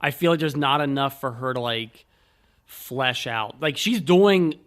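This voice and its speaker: A man's voice: medium pitch, lively intonation, unhurried, fairly bright tone. A man co-hosting a film podcast, arguing his points casually through comparisons and imagined quotes. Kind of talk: casual movie discussion.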